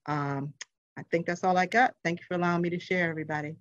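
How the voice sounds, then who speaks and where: A woman's voice: lowish voice, steady tone, fast, no room sound. A woman sharing earnestly and reflectively at a support meeting, heard over a video call. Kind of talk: personal share at a support meeting. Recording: dry, muffled video call audio.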